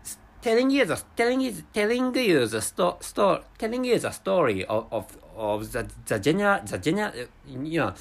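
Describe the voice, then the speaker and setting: A man whose first language is Japanese, talking alone as a podcast host and stumbling through his English with constant restarts. His voice is husky and slightly breathy, and his pitch swings up and down in a lively, animated way. He keeps a casual, unscripted tone throughout. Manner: halting, frequent restarts and stumbles. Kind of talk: casual monologue.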